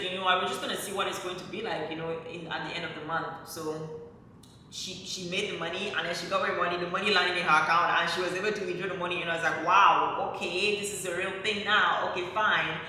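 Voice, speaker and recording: Bright-voiced woman in an echoey room. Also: fairly low pitch. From Cameroon. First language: French. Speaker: online content creator. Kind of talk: personal storytelling to viewers. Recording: very echoey room, distant mic, faint noise.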